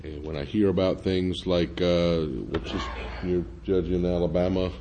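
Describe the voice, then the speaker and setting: A man's voice: sits low, unhurried, dark tone, a little hiss or hum. A man speaking as a panelist at a public forum, hesitant with many fillers and restarts.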